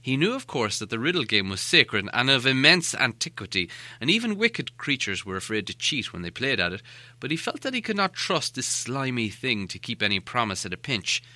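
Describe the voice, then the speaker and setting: A man narrates a storybook dramatically. His voice sits at a middle pitch and is strikingly bright and forceful, with lively rises and falls, in a close, dry recording. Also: brisk, no echo. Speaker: audiobook narrator.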